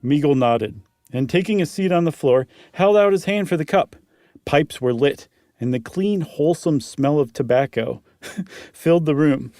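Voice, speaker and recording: Lively man reading a spooky story. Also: on the high side, normal speed, no echo, studio quality. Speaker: podcast co-host reading a story aloud. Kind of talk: dramatic story narration with casual asides.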